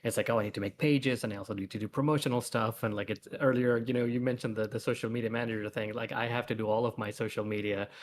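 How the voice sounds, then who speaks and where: A man's voice: mid-range voice, steady tone, fast, hardly any pauses, a little hiss or hum. A man chatting casually as a guest comic creator, rambling along in an earnest, self-effacing way. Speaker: guest comic author and artist. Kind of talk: casual livestream chat.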